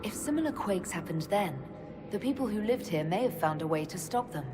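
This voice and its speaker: A woman's voice: mid-range voice, animated, natural voice. An actress playing a composed, inquisitive female elven mage leader in a video game cutscene. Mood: thoughtful. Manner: measured, inquisitive. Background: game music.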